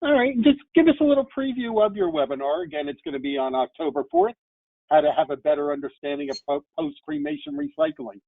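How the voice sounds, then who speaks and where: A man's voice: very high-pitched, sing-song, quick pace, gravelly, no echo. A man hosting an interview over a video call, asking chatty, friendly questions. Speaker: interview host. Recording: tinny dry phone-like call audio.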